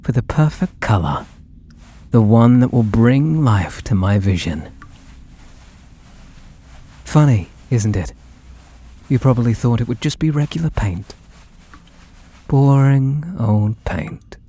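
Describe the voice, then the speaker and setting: A British man voicing an obsessed, possessive artist and menacingly tender lover in a breathy, theatrical ASMR roleplay, drifting into whispers. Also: medium pitch, lively intonation, slow, long pauses, dark tone. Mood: menacing, teasing. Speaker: audio roleplay voice actor.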